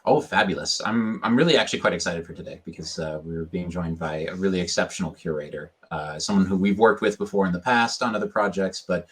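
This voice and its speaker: A man's voice: sits low, quick pace, hardly any pauses. A man hosting a live stream interview over a video call, polished and effusive with formal phrasing. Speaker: host.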